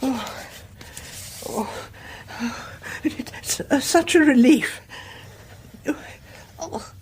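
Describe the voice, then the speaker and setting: An English actress playing a frail, stout older neighbour in a radio drama, genteel, coy and gently needling. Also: female voice, sits high, very slow, slight background noise. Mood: relieved, indulgent.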